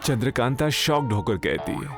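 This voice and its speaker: A man narrates a scripted story in a mid-pitched voice. He works as the storyteller linking the scenes, with music clearly playing underneath. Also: sing-song, moderate pace.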